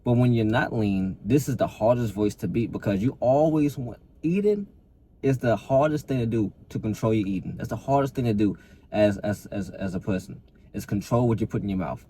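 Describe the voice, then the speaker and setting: A young man with a low, mellow voice speaks straight to his viewers as a fitness coach. He pushes hard and earnestly, doubling back on his words as he goes. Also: animated, a little fast, husky. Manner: repeats and restarts words, earnest motivational push. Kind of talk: casual talk to camera.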